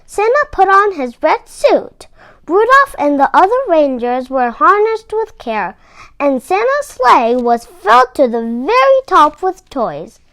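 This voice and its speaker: Lady reading a kids book out loud, slow and super expressive, close dry recording. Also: very high-pitched, no room sound. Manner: expressive, emphatic phrasing. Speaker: storyteller. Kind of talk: children's storybook read-aloud.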